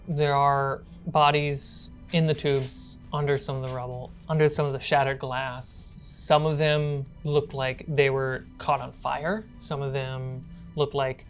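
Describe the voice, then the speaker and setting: A woman game master narrating a horror tabletop roleplaying game in a measured, deliberate way. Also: a bass voice, little pitch movement, faint music behind.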